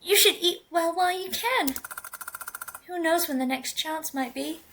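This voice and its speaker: A chirpy young office guy with a big appetite, bubbly and eager, as a woman voices him. The voice sits high and bright, and the delivery stays slow and careful. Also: a little hiss or hum, exaggerated voice. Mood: cheerful. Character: cheerful hungry young male office coworker.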